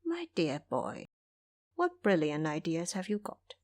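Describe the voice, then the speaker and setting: A woman's voice: on the low side, wildly swooping pitch, unhurried, darkish tone, voice pushed down. A woman voicing a very old, greedy male monk with a plaintive, self-pitying way of talking in an audio drama. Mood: delighted, eager.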